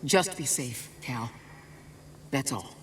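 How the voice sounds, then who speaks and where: A woman playing a grave, guilt-ridden middle-aged former Jedi mentor in a game cutscene, speaking in a measured, solemn, deliberate way. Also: very deep voice, lively intonation, very noisy, heavily acted. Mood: concerned. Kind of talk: dramatic video game cutscene acting. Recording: music behind dialogue.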